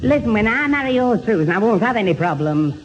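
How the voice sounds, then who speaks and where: A man's voice: high-pitched, big pitch swings, quick pace. A man playing a dim, wary, muddled young man in an old radio sitcom. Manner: stammering, muddled. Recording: very noisy, reverberant, muffled old radio recording.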